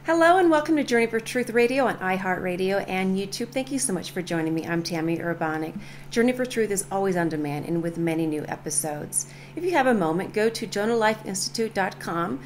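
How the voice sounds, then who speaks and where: A woman radio host opening her show and interviewing a guest in a smooth, scripted manner. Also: mid-range voice, animated, a little fast, slight background noise.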